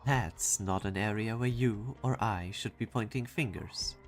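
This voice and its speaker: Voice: mid-range voice, a little slow, faint music behind, close to an everyday voice. An actor playing a stern, formal, dryly exasperated royal officer in an amateur game fan dub. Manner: formal, clipped, dryly reproachful.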